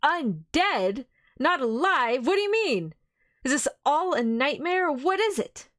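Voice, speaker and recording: A woman's voice: medium pitch, fairly even intonation, moderate pace, no room sound, clean studio sound, close to an everyday voice. A woman voicing a grieving, outraged young English nobleman in a read-aloud novel, speaking in passionate, pleading outbursts. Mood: horrified.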